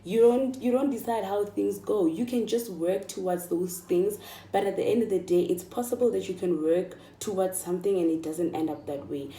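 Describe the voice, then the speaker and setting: A woman's voice: mid-range voice, moderate pace, mellow. A South African woman in her mid-twenties talking casually and emphatically to viewers, encouraging them and repeating phrases for stress. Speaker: vlogger. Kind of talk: casual motivational chat to viewers. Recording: echoey room, distant microphone.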